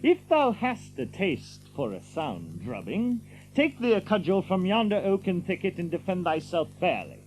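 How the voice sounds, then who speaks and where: An actor playing a bold, hot-tempered outlaw leader in an archaic audio drama, with a blustering, declamatory manner. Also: male voice, sits high, sing-song, moderate pace, a little hiss or hum, poor audio. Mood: commanding.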